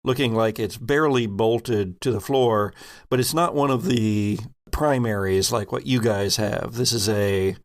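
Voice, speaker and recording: A man narrating a tabletop game as game master in a casual, halting way, thinking aloud as he goes. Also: lowish voice.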